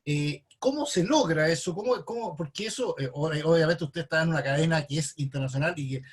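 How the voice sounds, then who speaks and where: A man moderates an interview, his voice husky and slightly high. He asks long questions, pausing and repeating words as he hunts for phrasing. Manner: halting, repeats words while searching for phrasing.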